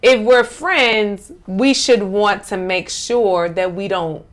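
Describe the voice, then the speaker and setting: A middle-aged woman hosting a casual panel chat in a small studio, emphatic and animated, often repeating her agreement. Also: mid-range voice, takes it slowly. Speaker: talk show host. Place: small bright studio set.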